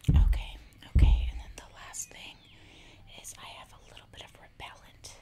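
A young woman voicing a casually menacing jungle giantess in a soft, chatty whispered ASMR roleplay, close to the microphone. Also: natural voice. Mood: hushed, busy. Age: young adult. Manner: soft, slow, repetitive, chatty. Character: casually menacing female jungle giantess who eats people.

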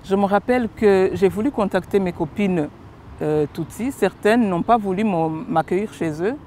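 A middle-aged woman from Rwanda tells her story, pausing often and searching for words. Her voice is dark and mellow, caught on a clip-on mic in a park, with faint traffic noise behind. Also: mid-range voice. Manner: hesitant, many pauses and fillers. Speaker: interviewee giving testimony. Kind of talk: personal testimony interview. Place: park lawn outdoors.

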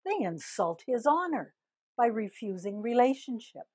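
Older woman minister, husky, measured sermon delivery, dry close sound. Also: fairly high pitch, very animated, a little mellow, no room sound. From Canada. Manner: slow, deliberate preaching with emphatic rises on key words.

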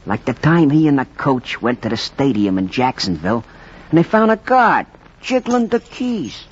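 An actor playing a folksy, colloquial baseball storyteller in a 1940s radio drama. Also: male voice, mid-range voice, very animated, normal speed, breathy edge. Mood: reflective. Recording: noisy, muffled 1940s radio recording.

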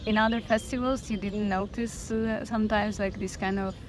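A woman's voice: medium pitch, level tone, moderate pace. A woman hosting an interview, speaking English as a second language, hesitant and searching for words as she asks questions. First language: not English. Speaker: podcast host.

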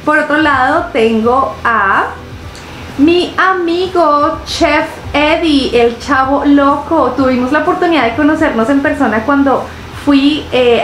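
A young woman with a Colombian accent, chatting casually to camera from her couch as a reaction vlogger, expressive and full of drawn-out fillers. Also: sits high, echoey room, a little hiss or hum, poor audio. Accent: Colombian Spanish. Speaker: reaction video host. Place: living room, seated on a couch.

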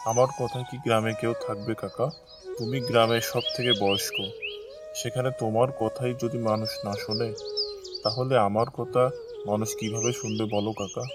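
Plain-spoken village husband played by a man, loud music. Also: mid-range voice, little pitch movement, normal speed, natural voice. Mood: concerned. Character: plain-spoken village husband, loyal to ancestral home, teasing. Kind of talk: animated folk tale dialogue.

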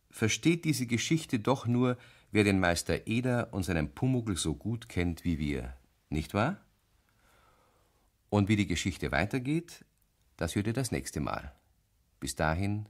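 A man narrating a children's radio play as a storyteller, in an expressive, confiding manner. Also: fairly low pitch, measured pace, long pauses.

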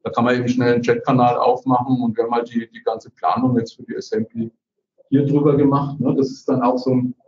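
A man's voice: medium pitch, little pitch movement, fast talker, darkish tone. Guy on a video call, talks casual with lots of filler tags, dull muffled sound. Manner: chatty, frequent "ne?" tags and "äh" fillers. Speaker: community founder presenting on a call. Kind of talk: casual screen-share presentation. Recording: roomy, distant, dull video-call audio.

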